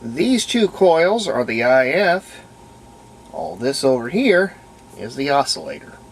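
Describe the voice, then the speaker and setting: Casting: male DIY repair host, average pitch, plainspoken and unscripted, recorded with noticeable ambient noise. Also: big pitch swings, slow pace. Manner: unscripted, plainspoken, thinking aloud while working. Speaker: host of a radio restoration video. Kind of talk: hands-on repair demonstration, casual narration.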